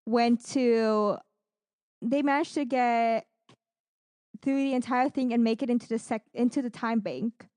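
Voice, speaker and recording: A woman's voice: on the high side, fairly even intonation, measured pace, a little mellow, no echo. A woman co-hosting a podcast, recapping esports matches in a casual, gushing, enthusiastic way. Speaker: podcast co-host.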